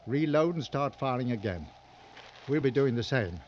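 An actor playing a seasoned, coolly sardonic hunting guide in a mid-century radio drama, giving clipped, authoritative instructions. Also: male voice, mid-range voice, expressive, moderate pace, lightly acted. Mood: calm, instructing. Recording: dull old radio recording, noisy.

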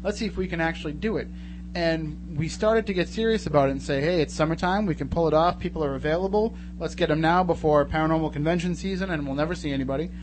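Male talk radio host, fairly high voice, casual banter, noisy humming recording. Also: fast. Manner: joking, chatty. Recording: noticeable hum and background noise.

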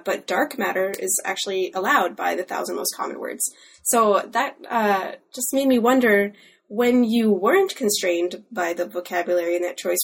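A woman interviewing a guest in a conversational style, putting questions about a book. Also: mid-range voice.

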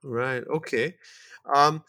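A man hosting an interview podcast, pausing often and restarting his sentences. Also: mid-range voice, fairly even intonation, sharp tone, dry recording. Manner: hesitant, many fillers and restarts. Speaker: podcast host.

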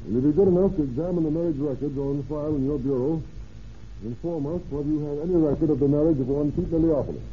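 An actor playing a confident, methodical private detective in an old-time radio drama, musing and deliberate. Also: male voice, a little high, lightly acted. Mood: businesslike. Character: methodical, confident male private sleuth dictating to his secretary. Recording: tinny, noisy vintage radio recording.

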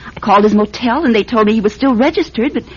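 Very husky young club singer. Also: female voice, fairly high pitch, fast talker. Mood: worried. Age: young adult. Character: April, earnest, worried young nightclub singer, hopeful niece. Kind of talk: radio mystery drama acting. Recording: muffled radio recording.

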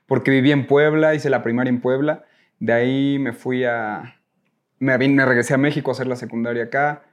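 A Mexican man with a mid-pitched voice is the guest in an interview. He tells his own stories informally, often rambling and correcting himself along the way. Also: steady tone, fairly quick. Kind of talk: casual personal storytelling.

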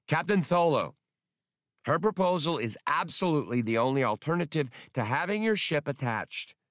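A man voicing a small, furry alien debt collector in an audiobook, squeaky, fussy, officious and stubbornly insistent. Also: very animated, normal speed, a bit bright, no room sound, clean studio sound. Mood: nervously firm.

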